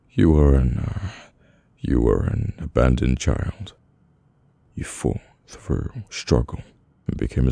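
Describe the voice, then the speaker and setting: A man with a British accent playing a brooding, guarded mafia boss in an intimate, halting, murmured ASMR roleplay. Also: very deep voice, vocal fry, dark tone, no room sound, exaggerated voice. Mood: musing, probing.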